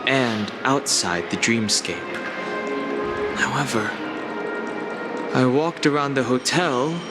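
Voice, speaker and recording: Man as a serious, mid-pitched watchful companion. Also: lightly acted. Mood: serious, wary. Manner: measured, matter-of-fact. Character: serious, reserved, watchful male travel companion. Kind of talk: video game cutscene acting. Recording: loud music behind the voice.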